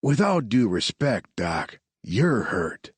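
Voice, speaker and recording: Man doing a calm, drawling medic. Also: on the low side, slow pace, husky, no echo, voice pushed up, heavily acted. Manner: calming drawl.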